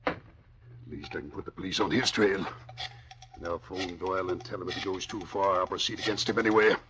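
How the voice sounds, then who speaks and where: An actor playing a stubborn middle-aged district attorney in 1940s radio style, stern, indignant and emphatic. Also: male voice, low-pitched, steady tone, a little fast, natural voice. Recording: muffled old radio recording.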